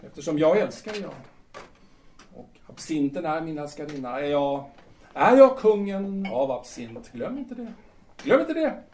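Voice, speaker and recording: In a distant, very echoey recording with faint noise, a man plays a grandiose young male playwright in an audio drama. His voice sits fairly high. He is agitated and theatrical, circling back over his own words. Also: big pitch swings, a little mellow. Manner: agitated, theatrical, repeats himself.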